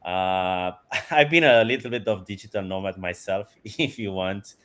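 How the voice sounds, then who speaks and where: A man's voice: deep voice, moderate pace, a bit bright, a little hiss or hum. A man, enthusiastically answering interview questions and chuckling often. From Romania. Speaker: guest, company CEO.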